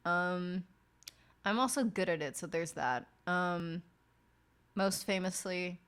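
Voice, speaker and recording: Rambling, swearing woman with a low voice. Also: slow pace, a bit bright, clear, resonant tone. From outside the United States. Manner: rambling, heavy swearing, many fillers. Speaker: streamer and podcast host. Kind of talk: casual late-night chat while working.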